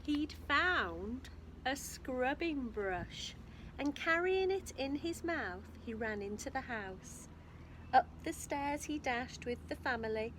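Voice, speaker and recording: Animated, high-voiced woman reading a story. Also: slight background noise, poor audio. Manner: expressive, emphatic storytelling with questions to listeners. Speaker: infant school storyteller. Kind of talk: reading a picture book aloud to young children, with asides.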